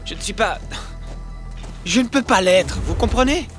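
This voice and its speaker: A man voicing a bold, blunt boy hero who leads a team in an animated cartoon. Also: sits high, unhurried. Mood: frustrated denial. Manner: cocky, blunt. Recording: loud background music.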